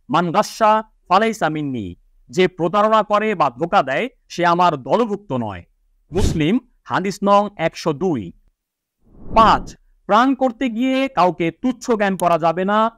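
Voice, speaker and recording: A man with a high-pitched voice presents an Islamic educational talk. He speaks in a formal, instructive way, addressing viewers and citing religious texts. The sound is dry, with very little room echo. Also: fairly bright tone. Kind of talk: religious lecture to viewers, citing hadith and scripture.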